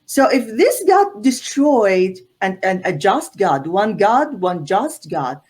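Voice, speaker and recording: A woman's voice: mid-range voice, big pitch swings, takes it slowly, a little mellow. A middle-aged woman from Syria, explaining her reasoning as a guest on a video call, earnest and emphatic, often repeating words as she builds a point. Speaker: guest scholar. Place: indoor room on a video call. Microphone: laptop or webcam mic on a video call.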